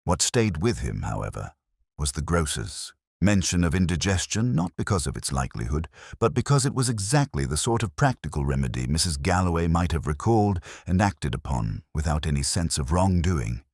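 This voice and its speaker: A man narrating a mystery story in a measured, expressive way. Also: extremely low pitch, slightly rough, dry recording, studio quality.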